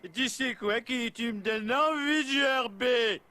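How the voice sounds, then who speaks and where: Man doing a very high-pitched belligerent drunk. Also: unhurried. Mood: drunken, belligerent. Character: rambling drunk adult, rude and belligerent. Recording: noisy with clicks.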